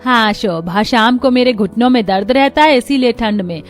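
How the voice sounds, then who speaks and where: A gentle older mother from a cartoon story, as a woman puts her on, soft and caring. Her voice stays quiet and kind. Faint music plays underneath. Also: on the high side, normal speed, close to an everyday voice.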